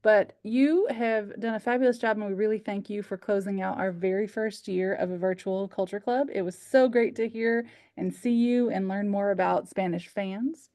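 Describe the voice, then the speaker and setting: American woman, casual host, chatty, enthusiastic, medium pitch, very little room sound. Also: fairly even intonation, normal speed, few pauses, dark tone, dry recording, studio quality. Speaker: host of a virtual culture club. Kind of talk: casual hosting chat.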